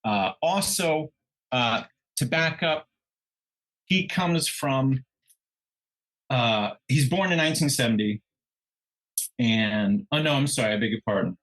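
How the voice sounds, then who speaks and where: A man co-hosting a true crime podcast, telling the story conversationally in a halting way, often backtracking to correct himself. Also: medium pitch, animated, a bit bright, rough recording.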